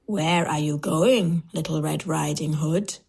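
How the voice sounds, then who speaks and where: A woman voicing a sly, hungry male wolf in a storybook, with a slow, coaxing, falsely polite and menacing manner. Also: deep voice, gravelly, darkish tone, husky, voice pushed down, heavily acted.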